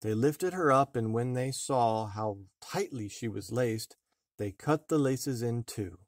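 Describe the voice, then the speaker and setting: Man, mid pitch, unhurried, deliberate, fairy-tale narration, dry room. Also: lively intonation, no room sound. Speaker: storybook reader, a father.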